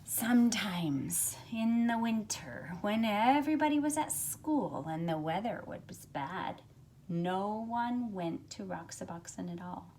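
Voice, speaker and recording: A middle-aged woman speaks as a teacher to children, at a medium pitch. Her phrasing is expressive and deliberate, suited to a picture book read-aloud. She is recorded indoors at home on a phone. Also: slight background noise. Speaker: teacher reading to students. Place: carpeted room at home, indoors. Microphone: phone at arm's length.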